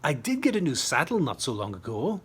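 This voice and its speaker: A man's voice: mid-range voice, huge pitch swings, fairly quick, slightly rough, heavily acted. A man voicing a small, skeptical WWI pilot in a storybook reading, with dry, teasing sarcasm. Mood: mildly skeptical.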